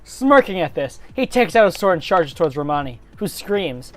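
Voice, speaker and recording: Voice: medium pitch, sing-song, moderate pace, loud music behind the voice. A narrator giving a dramatic reading of a fan story in a hammy storybook style, with casual self-aware asides.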